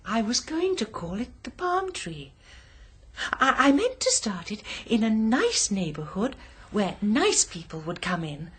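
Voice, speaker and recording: Prim English murderess for radio drama, genteel but unhinged, fussy manner, slightly husky, lively range. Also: female voice, medium pitch, a little slow, full character voice. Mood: wistful, genteel. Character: genteel, unhinged lady murderer dreaming of a tea shop.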